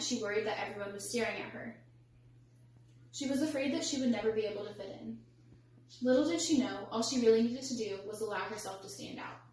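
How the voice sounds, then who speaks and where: A young woman delivering a rehearsed storytelling speech in a measured, formal, carefully enunciated way. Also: a little high. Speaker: student public speaker. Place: indoor echoey room, recorded from a distance. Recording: echoey room, distant mic, faint hum.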